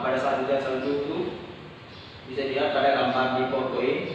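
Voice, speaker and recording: A young man from Indonesia teaching a class, explaining slowly and pausing often on "nah". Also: a little high, noisy background. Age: young adult. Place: classroom indoors. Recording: echoey roomy classroom, distant mic, dull.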